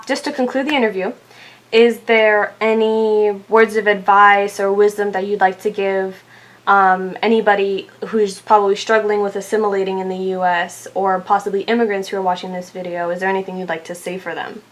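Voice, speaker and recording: Young woman interviewer with a medium-pitched voice. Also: steady tone, moderate pace, fairly bright tone. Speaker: interviewer and channel host. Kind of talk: informal scripted interview questions.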